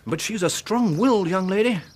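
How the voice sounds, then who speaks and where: A gruff English inspector in a Victorian radio play. The voice is husky and swings widely in pitch. He is blunt, sceptical and sardonic. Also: animated, a little fast. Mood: matter-of-fact. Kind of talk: radio drama acting.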